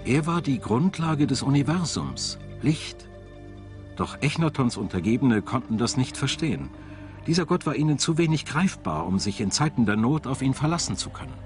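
A man reads scripted documentary narration in a measured, articulate, storytelling way. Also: mid-range voice, big pitch swings. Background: background music.

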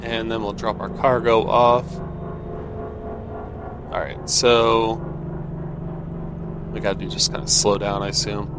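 An American man giving casual let's-play commentary, easygoing and thinking out loud. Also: on the low side, fairly even intonation, slow pace, long pauses, noisy background. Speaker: gaming video host.

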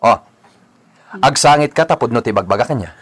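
A man's voice: medium pitch, lively intonation, a little fast, a bit bright, husky. An actor playing a strict, stern father in a radio drama. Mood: angry scolding. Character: strict, stern father and eldest brother, Bugoy.

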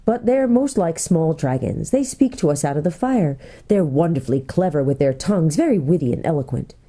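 A woman voicing a small, excitable male gnome from a storybook, courteous and deferential, speaking with eager wonder. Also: deep voice, a little fast, slightly rough.

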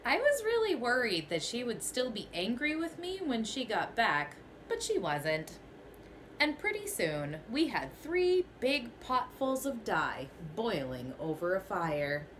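Young female teacher, storybook read-aloud, slow and lively, bright-ish voice, faint room hiss. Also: on the high side. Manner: expressive storytelling, first person as the goat. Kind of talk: children's picture book read-aloud. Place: small bedroom, indoors.

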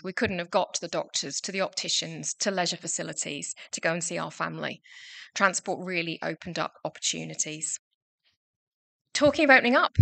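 Bright-voiced woman chairing a conference panel. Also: on the low side, gravelly, dry recording. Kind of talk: prepared welcome speech. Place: conference stage indoors. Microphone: lectern microphone.

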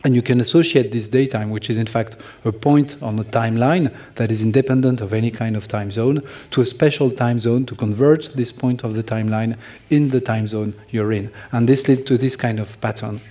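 A middle-aged man with a French accent giving a technical conference talk from a large stage, halting with many restarts. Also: medium pitch, steady tone, warm tone. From France. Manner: halting, many restarts and fillers, joking asides. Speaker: conference speaker.

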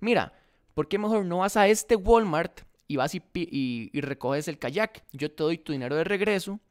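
A young man with a Costa Rican accent telling a story to camera in a casual vlog, animated and acting out both sides of the dialogue, close to a desk microphone in a room by a window. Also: fairly high pitch, normal speed. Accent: Costa Rican Spanish. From Costa Rica. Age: young adult. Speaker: dropshipping vlogger. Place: indoor room by a large window. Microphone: desk condenser mic in front of face.

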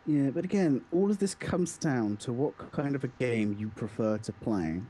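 A man's voice: medium pitch, lively intonation, moderate pace, warm tone, breathy edge, poor audio. A man co-hosting a podcast, casually and insistently giving blunt opinions about video games. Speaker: podcast co-host.

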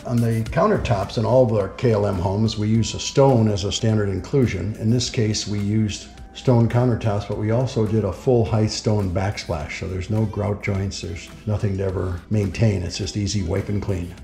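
A man with a fairly low, creaky voice gives an informative house tour. He talks plainly, like a builder going through features. The sound is echoey, with music playing underneath. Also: steady tone, normal speed, hardly any pauses. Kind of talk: explanatory house tour narration. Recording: roomy indoor echo with music behind. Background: background music.

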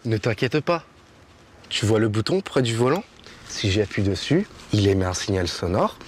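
A man's voice: on the low side, very animated, normal speed. An actor in his twenties playing an eager young student in a scripted French lesson dialogue. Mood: reassuring. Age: 20s. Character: eager young male student showing off a rental car. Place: outdoors on a city street, by and in a car. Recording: clear street and vehicle noise.

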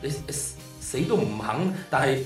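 A man's voice: mid-range voice, expressive, normal speed, natural voice. Guy acting a tightfisted middle-aged hong kong cantonese boss, curt and snippy, radio play with music under it. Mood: terrified. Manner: brusque, dismissive, stammers when frightened. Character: stingy, sharp-tongued middle-aged male boss. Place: small padded radio studio.

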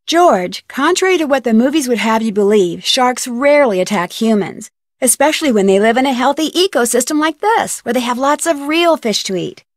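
A young American woman playing a plucky, determined detective in an adventure game, speaking earnestly and clearly. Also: fairly high pitch, lively intonation, brisk, no room sound, clean studio sound, close to an everyday voice. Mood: matter-of-fact. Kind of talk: scripted game dialogue.

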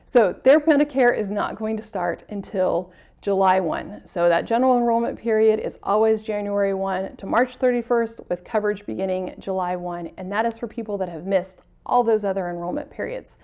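A woman's voice: medium pitch, moderate pace, a little mellow. A woman in her thirties presenting an educational talk to camera in a studio, earnestly walking through examples in the first person. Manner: earnest, uses first-person examples, some self-corrections. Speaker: extension agent presenter. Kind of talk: explanatory lecture to camera. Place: studio with plain backdrop.

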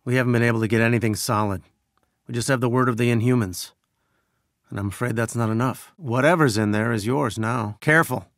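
Actor for male scientist rebel leader in a game: earnest, grave, measured, mid-pitched, cleanly dry recording. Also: fairly bright tone, no room sound, lightly acted. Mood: grave, focused. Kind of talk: video game voice acting.

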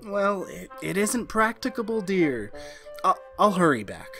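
A woman's voice: fairly low pitch, sing-song, measured pace, over music. A woman playing a nervous, henpecked husband in a retro radio drama, confiding hesitantly and getting flustered. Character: nervous, henpecked husband, a university history teacher. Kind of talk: retro 1940s radio drama, first-person narration.

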